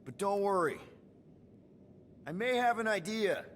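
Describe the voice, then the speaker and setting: An actor playing an earnest, flustered young leader of a spacefaring team in a video game, informal, stammering and pleading. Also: male voice, high-pitched, lively intonation, a little slow, big-room sound, a little hiss or hum. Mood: urgent, upbeat. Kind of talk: video game cutscene acting.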